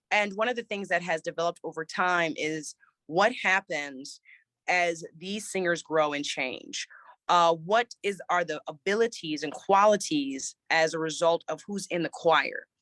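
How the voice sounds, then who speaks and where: A woman's voice: on the low side, a bit bright, no room sound. Slightly scratchy woman choir director. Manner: thoughtful, with many restarts and fillers. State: slightly scratchy from a recent cold. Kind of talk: relaxed interview answers.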